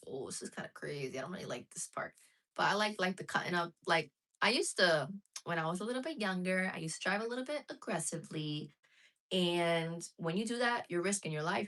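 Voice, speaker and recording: A woman's voice: fairly low pitch, lively intonation, quick pace, a bit bright. A woman live streaming casually to her viewers, chatting frankly and reading out chat messages. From United States.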